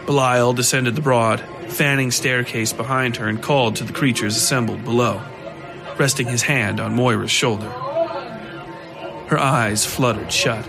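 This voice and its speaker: A man's voice: medium pitch, steady tone, normal speed, gravelly. A man narrating scripted horror fiction as a storyteller. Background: music under the voice.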